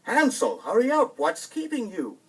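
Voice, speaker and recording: A man's voice: very high voice, huge pitch swings, moderate pace, slightly rough, distant mic, pitched above the performer's own voice, full character voice. A man voicing a poor, worried storybook woodcutter and father, speaking with anxious urgency. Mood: impatient calling. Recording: vinyl storybook record with music behind.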